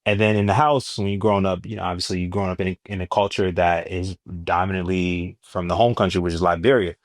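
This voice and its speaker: A young man from New Jersey with a Jersey accent, a stand-up comedian talking casually as an interview guest in a relaxed, slangy way. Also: low-pitched, quick pace, no echo, clean studio sound. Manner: laid-back, slangy, animated when telling stories.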